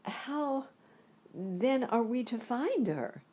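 A woman voicing a gentle, sad young girl in a storybook reading, in a soft, plaintive girlish voice. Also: a little high, expressive, very slow, breathy edge, voice pushed up, heavily acted. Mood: earnest.

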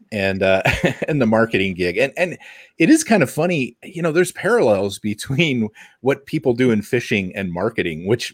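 A man's voice: fairly low pitch, dry recording, studio quality. A man hosting a podcast in a joking, easygoing, casual chat. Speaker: podcast host.

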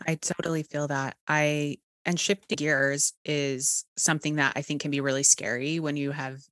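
A woman's voice: low voice, level tone, moderate pace, fairly bright tone, no echo. A woman with a Texas accent hosting an interview, talking candidly and encouragingly, with the odd casual swear. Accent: Texan. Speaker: podcast host.

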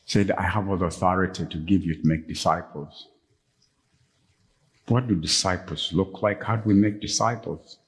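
Ugandan-born pastor preaching in a reverberant church. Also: male voice, normal speed. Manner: storytelling preacher, rising to high, emphatic peaks when stirred. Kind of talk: sermon.

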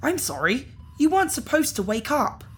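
A woman's voice: high voice, big pitch swings, normal speed. A woman playing a casual, apologetic organ harvester in a science fiction audio drama, talking in an awkward, nonchalant way. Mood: matter-of-fact, apologetic. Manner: nonchalant, hesitant, politely awkward.

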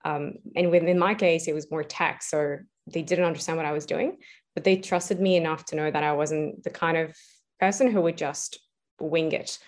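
Reflective woman with a lowish voice. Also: steady tone, moderate pace. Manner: reflective, many fillers and restarts. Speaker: guest, entrepreneur. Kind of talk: casual interview answers.

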